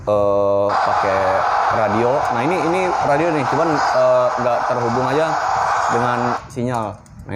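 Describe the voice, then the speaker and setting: A man's voice: mid-range voice, a little hiss or hum. A man, giving an informal, slangy, unscripted hands-on product review. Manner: informal, slangy, talking through a hands-on demonstration.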